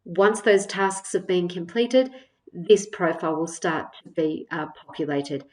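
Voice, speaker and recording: Woman, fairly low voice, software walkthrough narration, explanatory, measured, hesitant in places. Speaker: software tutorial presenter. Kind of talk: screen-share product walkthrough, semi-scripted narration.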